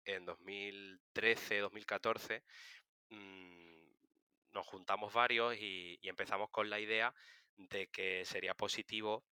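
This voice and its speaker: A man's voice: lowish voice, fairly even intonation, slow, bright, forceful tone, clear, resonant tone, no echo. A man in his thirties from Seville, a podcast guest casually telling stories and explaining in informal, self-correcting speech. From Seville, Spain. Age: 30s. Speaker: interview guest, political science communicator. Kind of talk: casual conversational storytelling.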